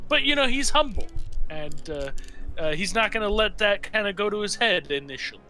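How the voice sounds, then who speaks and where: A man casually describing his roleplaying character off the cuff, halting and hesitant, with tongue-in-cheek humor. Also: sits high, expressive, pushed and bright, faint music behind, low-quality recording. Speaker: player in a tabletop roleplaying game.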